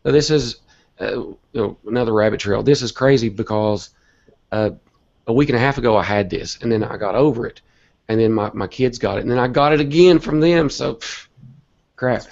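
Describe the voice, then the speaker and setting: A man with a cold and a hoarse voice, talking earnestly and a bit rambling as an interview guest. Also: medium pitch, brisk, darkish tone. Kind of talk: casual online interview talk. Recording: dull lo-fi web call audio.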